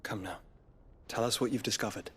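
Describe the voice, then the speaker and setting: An actor playing a refined young nobleman in a fantasy game, gentle and dutiful, speaking in formal, measured phrases. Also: on the low side, husky. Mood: calm, formal. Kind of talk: dramatic fantasy game acting.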